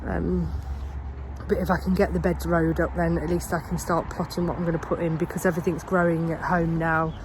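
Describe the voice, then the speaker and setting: A woman's voice: fairly low pitch, little pitch movement, a little fast, noisy background. A woman in her thirties with a cold, chatting casually on her allotment as she plans her gardening jobs, with lots of ums and restarts. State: has a cold. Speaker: gardening vlogger. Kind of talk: casual vlog chat. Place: outdoors on an allotment.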